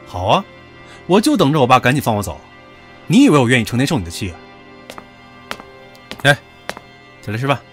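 An actor playing a brash, rude young heir who speaks in a brusque, commanding way. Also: male voice, medium pitch, very animated, fairly quick, pauses often, fairly bright tone. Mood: bossy. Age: young adult. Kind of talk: radio drama acting. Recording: background music.

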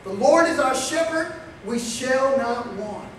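A man's voice: extremely high pitch, slow pace, a little hiss or hum. A middle-aged man preaching a storytelling sermon in a small meeting room, acting out quotes as he paces and gestures. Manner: animated, acts out quotes, gestures widely while pacing. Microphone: far camera. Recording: very echoey room from far off.

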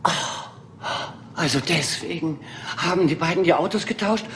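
An actress playing an aging, tired film star in a crime radio play, gracious but easily shaken. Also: female voice, fairly low pitch, normal speed, slight background noise, natural voice. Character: aging, weary female film diva.

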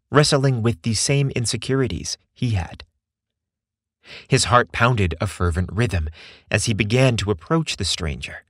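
Expressive lower-voiced male narrator. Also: dry recording, clean studio sound. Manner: expressive, earnest storytelling. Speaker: podcast story narrator. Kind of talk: scripted narration.

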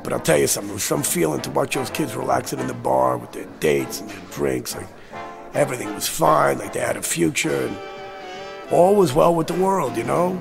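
An American actor playing an agitated, cynical man who insists he has seen disaster coming, speaking in a blunt, slangy, pleading way with a gravelly voice. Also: mid-range voice, sing-song, fairly quick, music in the background. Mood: bitter, weary. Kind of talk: dramatic screen acting.

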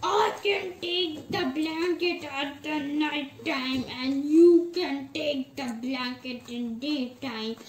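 A woman voicing a cunning, bossy elder brother puppet with a childlike, deliberate manner. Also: high voice, reverberant. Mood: sly.

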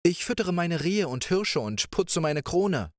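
A man voicing a cheerful, carefree young princess with a playful, lively way of speaking. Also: a little high, measured pace, dry recording, clean studio sound, pitched above the performer's own voice. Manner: bright, playful, lively questioning.

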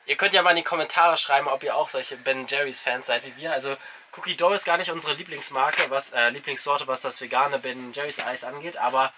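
A man's voice: medium pitch, fairly even intonation, fast talker, noisy background. A man in his twenties chatting casually and enthusiastically to camera as a travel vlogger. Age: 20s. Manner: enthusiastic, colloquial. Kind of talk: casual vlog chat. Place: mostly inside a small camper van. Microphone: camera mic at arm's length.

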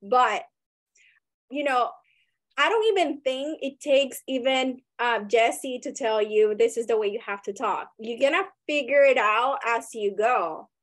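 A woman's voice: fairly high pitch, unhurried. A young woman with a light Cuban accent, a guest broker talking casually and expressively on a video call from home. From Cuba.

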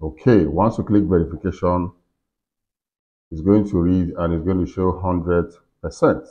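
A man in his forties hosting a casual software walkthrough, talking himself through each step. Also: low voice, steady tone, measured pace. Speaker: tutorial host. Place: indoors in a furnished room. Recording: slightly dull, lo-fi sound.

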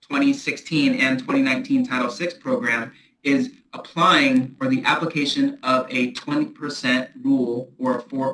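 A man gives a formal staff presentation to a board over a video call. His bright voice rings in an echoey room with faint noise behind it, and he speaks in a measured, procedural way. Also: mid-range voice. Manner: measured, procedural, restarts often. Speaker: transit agency staff presenter.

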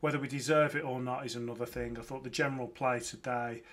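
A man's voice: medium pitch, fairly even intonation, fast, a bit bright. A man in his thirties from Lancashire with a Birmingham accent, giving a casual, enthusiastic football match reaction from home. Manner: chatty, enthusiastic, frequent fillers and restarts. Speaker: football podcast host. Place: home room with framed shirts, indoors.

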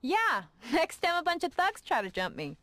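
A woman playing a wistful, guarded young woman in a video game, hesitant and gentle. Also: high-pitched, huge pitch swings, normal speed, exaggerated voice. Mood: wry.

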